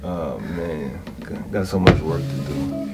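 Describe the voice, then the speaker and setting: A low-voiced man who makes beats, talking in an unhurried, low-key way. Music plays clearly in the room behind him. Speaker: beat producer. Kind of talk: casual chat.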